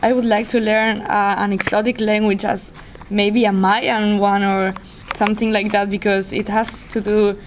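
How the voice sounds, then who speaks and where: A woman's voice: mid-range voice, level tone, normal speed, a little hiss or hum. Young woman from Spain, Spanish accent, hesitant student interviewee, mid-range voice. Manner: hesitant, with frequent "uh" fillers. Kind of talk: casual interview answers.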